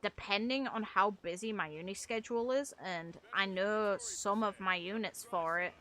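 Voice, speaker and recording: A woman's voice: fairly low pitch, sharp tone. A young adult woman who streams games, chatting casually and informally with viewers in a rambling way. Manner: informal, rambling, mild swearing. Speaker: game streamer. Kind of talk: casual livestream chat with viewers.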